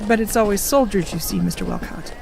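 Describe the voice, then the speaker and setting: A woman's voice: mid-range voice, fast talker, creaky, close to an everyday voice. A woman playing a level-headed, observant companion to an adventurer in an audio drama, with a questioning manner. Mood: puzzled.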